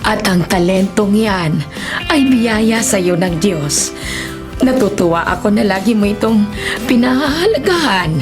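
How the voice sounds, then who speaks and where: Woman playing fond older nanny, very animated, gushing praise, radio drama, music bed. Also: mid-range voice, a little slow, close to an everyday voice. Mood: admiring, fond. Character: doting, cheerful older nanny full of praise. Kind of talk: Filipino radio drama acting. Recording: music behind the voice.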